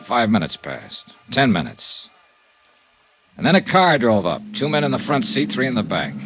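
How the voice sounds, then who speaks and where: An American actor playing a tough, shrewd insurance investigator in a 1950s radio drama, brisk and commanding. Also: male voice, mid-range voice, big pitch swings, over music, rough recording. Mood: terse narrating. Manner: brisk, commanding, clipped delivery. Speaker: lead actor and narrator. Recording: vintage radio recording with faint noise.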